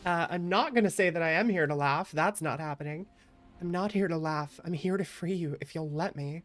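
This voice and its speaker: A woman's voice: lowish voice, brisk, soft background music. A woman livestreaming a game playthrough as a VTuber, talking casually in a chatty, affectionate, playful manner. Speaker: VTuber streamer.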